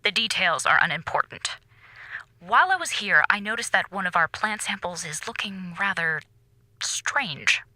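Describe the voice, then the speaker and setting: An actress playing an earnest, formal space station commander in an audio drama, serious in manner. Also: female voice, mid-range voice, expressive, moderate pace, pushed and bright, no room sound. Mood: uneasy, earnest.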